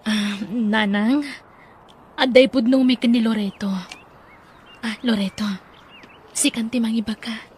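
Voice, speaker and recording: A woman playing a devoted, earnest young sweetheart in an audio drama, with a breathy voice. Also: on the high side, fairly even intonation, unhurried, long pauses, a little mellow. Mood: hesitant. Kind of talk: Ilocano audio drama acting.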